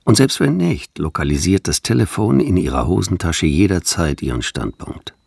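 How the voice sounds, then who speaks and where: A man's voice: low-pitched, big pitch swings, moderate pace, gravelly, a little mellow, husky, no echo, clean studio sound, full character voice. An actor playing an ominous male narrator who delivers dramatic, warning narration in a radio drama.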